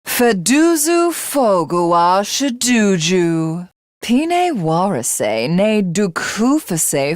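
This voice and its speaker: A woman's voice: medium pitch, darkish tone, no echo, studio quality. A woman voicing a megalomaniac alien warrior from a video game, haughty and theatrical, who devours rivals to become a god. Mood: haughty, alien speech. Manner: haughty, grandiose, theatrical, self-satisfied. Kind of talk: video game character voice acting.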